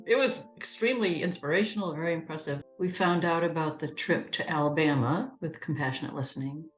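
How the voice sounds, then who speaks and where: A woman from New Hampshire sharing her experience in an animated way, laughing as she retells moments. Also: sits low. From New Hampshire, USA. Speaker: interviewee, churchgoer. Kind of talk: reflective testimony. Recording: roomy sound with music bed.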